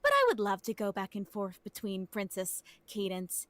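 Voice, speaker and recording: A woman's voice: medium pitch, wildly swooping pitch, moderate pace, a bit bright, no echo. A woman voice actor chatting casually as a guest, faltering and a little self-deprecating. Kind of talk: casual livestream chat.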